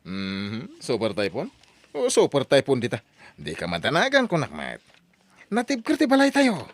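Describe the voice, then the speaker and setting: A man's voice: medium pitch, huge pitch swings, normal speed, dry recording. A man playing a stubborn, boastful husband and father who teases his friends in a radio drama. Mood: dismissive. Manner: boastful, teasing. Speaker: voice actor.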